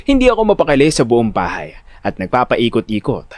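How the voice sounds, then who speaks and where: A man with a Filipino accent playing a jittery, vain but good-natured young everyman who tells his own story in an audio drama, expressive and dramatic. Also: medium pitch, fairly quick, no echo. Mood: storytelling. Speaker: narrator and lead actor. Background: music.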